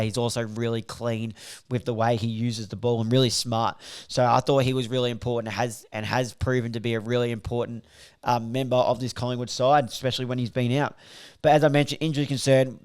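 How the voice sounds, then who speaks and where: Brief: male podcast host, a bit croaky, smooth resonant tone, rambling stat-heavy review. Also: mid-range voice. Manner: rambling, filler-heavy, reels off stats. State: slightly croaky, voice recovering. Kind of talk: casual solo podcast review.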